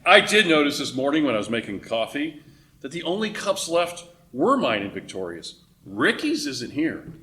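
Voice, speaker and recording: A man's voice: fairly high pitch, big pitch swings, moderate pace, pushed and bright. An actor playing an uneasy, accusing male station employee in a radio play. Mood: questioning. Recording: clear background noise.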